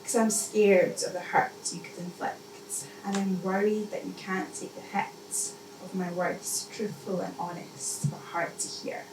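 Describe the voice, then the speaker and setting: A woman's voice: mid-range voice, steady tone. Woman reading poetry, echoey room. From Scotland. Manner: measured, deliberate phrasing with repetition and pauses. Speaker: poet. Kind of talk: spoken-word poetry reading. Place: indoor live poetry event. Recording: echoey, noisy room, mic distant.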